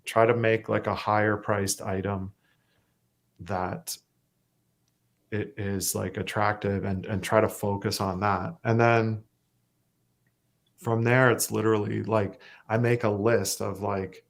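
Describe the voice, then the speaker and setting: A Canadian man with a self-described monotone voice, talking casually as a musician guest, rambling with fillers and restarts. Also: lowish voice, slow, creaky, long pauses. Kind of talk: casual interview chat.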